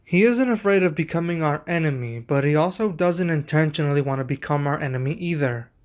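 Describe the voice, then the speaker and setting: Man playing a composed, higher-voiced young king. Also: brisk, lightly acted. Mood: calm, resolute. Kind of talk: ASMR roleplay acting.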